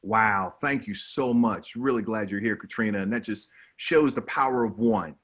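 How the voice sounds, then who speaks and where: A man's voice: fairly low pitch, normal speed, a bit bright. A man moderating an online panel in an upbeat, effusive and encouraging way, speaking over a video call.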